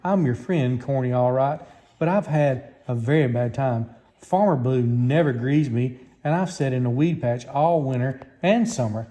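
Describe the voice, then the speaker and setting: A man's voice: medium pitch, normal speed, mellow, natural voice. A man voicing a shy, rusty, downhearted corn picker machine in a children's storybook, bashful and sorrowful. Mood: embarrassed.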